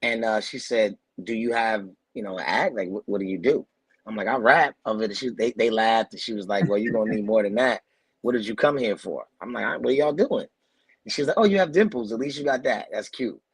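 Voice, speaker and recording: A lower-voiced man from New Jersey with a husky edge tells stories loosely and with slang, laughing as he goes. His voice sounds close and dry, with no room around it, like a video call interview. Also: a little fast, dry recording, low-quality recording. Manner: laid-back, laughing storyteller with slang. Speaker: guest actor. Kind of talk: casual storytelling interview.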